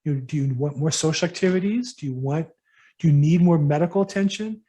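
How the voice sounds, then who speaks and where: A middle-aged man with a mellow, somewhat high voice answers questions from a workshop audience. He speaks casually and haltingly, with frequent ums and restarts. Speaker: real estate broker hosting a workshop. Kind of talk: casual advice to attendees.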